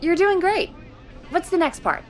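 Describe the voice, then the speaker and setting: High, lilting, supportive radio producer. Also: female voice, big pitch swings, a little slow, soft background music, a faint crowd, heavily acted. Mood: encouraging. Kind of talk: video game voice acting.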